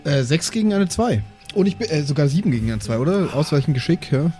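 A man's voice: on the high side, expressive, fast, warm tone. A man playing a tabletop role-playing game and chatting casually. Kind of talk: casual improvised game chat. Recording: faint music behind the voice. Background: music.

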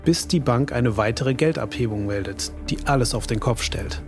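A man's voice: medium pitch, moderate pace. A man reading dramatic scripted narration for a true crime documentary. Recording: loud suspense music underneath.

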